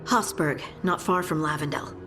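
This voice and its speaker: A woman's voice: deep voice, moderate pace, music in the background, natural voice. A woman playing a focused, confident companion in a fantasy video game. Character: focused female scout, confident companion bringing word. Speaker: video game character actor. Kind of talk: dramatic fantasy game dialogue.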